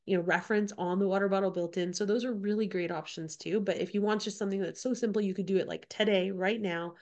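A woman in her forties hosting a casual solo podcast while getting over a cold, so her voice sounds a little off. She talks in a chatty, loose way, tossing in "like" and "right?" and circling back to her points. Her pitch sits in an ordinary middle range. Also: fairly even intonation, quick pace, darkish tone. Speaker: podcast co-host.

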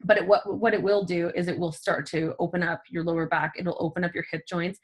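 A woman co-hosting a casual podcast about stretching and wellness, animated, laughing often and filling her talk with "like" and "um". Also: on the low side, brisk. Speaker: podcast co-host.

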